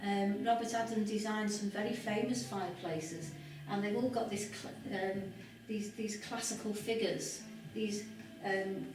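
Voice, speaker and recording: A woman's voice: mid-range voice, steady tone, a little slow, few pauses, over music. A woman giving an informal, explanatory guided tour of a house, speaking with some hesitation. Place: large room inside an old house. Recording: echoey room, distant mic, background noise.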